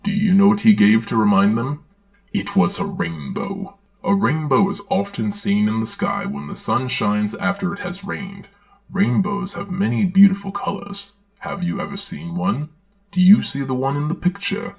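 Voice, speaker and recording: A man playing a droll villainous dark lord who reads a storybook aloud with deadpan, sardonic commentary. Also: fairly low pitch, normal speed, creaky. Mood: measured storytelling. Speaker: host and narrator. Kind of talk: dramatic reading with sardonic commentary.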